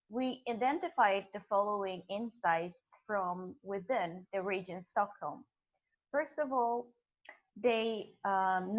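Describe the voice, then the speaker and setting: Slow, hesitant student presenter, woman, muffled recording. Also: medium pitch. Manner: slow, reads findings with hesitations. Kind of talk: scripted project presentation.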